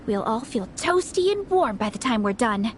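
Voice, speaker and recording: A woman's voice: a little high, big pitch swings, moderate pace, a little hiss or hum. A woman playing a peppy, earnest young female runner in an anime game, with a bubbly, exclamatory delivery. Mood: friendly, coaxing. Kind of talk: anime game character acting. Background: game music.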